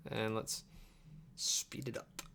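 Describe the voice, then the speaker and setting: A young man streaming from his computer desk, chatting casually and muttering offhand to himself as he works. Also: takes it slowly. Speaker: live streamer editing videos. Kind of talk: casual chat, thinking aloud while working. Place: small indoor room at a computer desk. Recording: faint computer and keyboard noise. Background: keyboard typing and mouse clicks.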